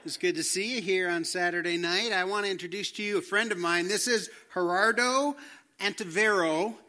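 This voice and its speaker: A man's voice: high voice, moderate pace, pushed and bright. A man hosting a Saturday night church service, introducing a guest and interviewing him in an upbeat, encouraging way. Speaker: church host. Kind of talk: friendly interview.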